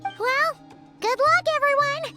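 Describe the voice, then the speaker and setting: A woman's voice: very high voice, takes it slowly, pushed and bright, faint music behind, full character voice. A woman voicing a small, cheerful, childlike floating companion girl in a game, eager and speaking of herself in the third person. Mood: cheerful, supportive. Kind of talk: game character voice acting.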